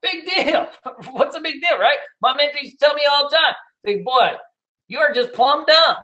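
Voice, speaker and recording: A middle-aged man teaching day trading in a casual, loud and blunt way, hooting and swearing as he talks over a screen share. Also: very high-pitched, animated, moderate pace, lo-fi sound. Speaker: trading educator.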